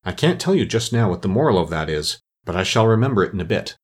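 A man's voice: on the low side, very animated, quick pace, slightly rough. A man voicing an ugly, fawning storybook Duchess who moralizes at length and agrees effusively. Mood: coaxing, preachy.

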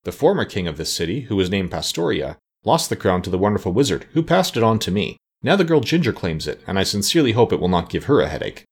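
A man voicing a mild, thoughtful scarecrow and former king from a children's storybook, reasoning in a measured, courteous way. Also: fairly low pitch, very animated, husky, exaggerated voice. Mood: gracious, reflective.

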